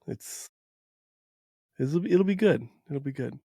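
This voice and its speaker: A man's voice: fairly high pitch, expressive, measured pace, vocal fry, warm tone, husky, dry recording, studio quality. A man from Wisconsin hosting a podcast in casual chat, joking and self-deprecating. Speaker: podcast host.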